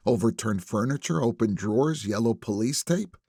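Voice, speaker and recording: A man narrating a first-person thriller audiobook in a wry, conversational, expressive manner. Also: medium pitch, normal speed, husky, dry recording, studio quality.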